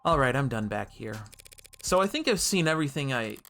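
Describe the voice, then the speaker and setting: A man's voice: mid-range voice, sing-song, brisk, vocal fry, pushed and bright, faint music behind. A man giving casual, jokey commentary as he plays through a video game. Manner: jokey, informal. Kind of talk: playthrough commentary mixing casual reactions and voiced dialogue reading.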